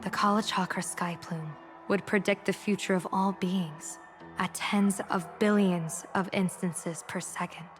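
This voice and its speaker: A woman voice acting a shrewd, composed general and diviner in a game cutscene, speaking in a measured, formal, theatrical way. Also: medium pitch, steady tone, soft background music, lightly acted. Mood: solemn, reflective. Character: shrewd, composed female general and diviner, secretly gambling against gods.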